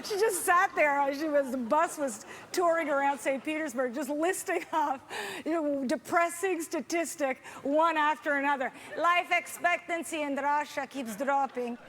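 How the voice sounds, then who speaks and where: A woman's voice: high-pitched, normal speed, a bit bright. A young woman from Canada with Hungarian as her first language, doing a chatty, self-deprecating stand-up set full of fillers and restarts on a festival stage. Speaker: stand-up comedian.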